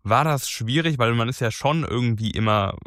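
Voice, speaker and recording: A man's voice: mid-range voice, moderate pace, fairly bright tone, no room sound, clean studio sound. A man hosting a casual conversation, talking hesitantly and searching for his words. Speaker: host.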